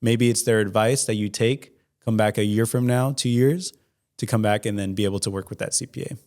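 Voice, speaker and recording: A man in his twenties, an accountant guest in an interview, giving earnest, measured explanations. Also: on the low side, steady tone, a little mellow. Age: 20s. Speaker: certified public accountant, guest. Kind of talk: interview answers.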